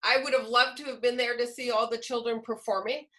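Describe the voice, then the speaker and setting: A woman presents informally, as if training teachers. Her voice is high and bright. She speaks conversationally and with enthusiasm, without reading from a script. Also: fairly even intonation, a little fast.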